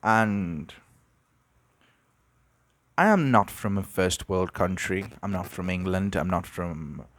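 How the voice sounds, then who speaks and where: A young man from Brazil hosting an unscripted podcast, musing and halting, with many restarts. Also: low voice, animated, no echo. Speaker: podcast host. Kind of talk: unscripted reflective monologue.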